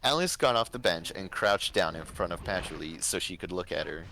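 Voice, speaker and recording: A man with a low voice narrates a story for a stream. His pitch moves around in a lively way, and there is faint noise in the background. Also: fairly quick, gravelly. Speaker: narrator reading a fan story on livestream.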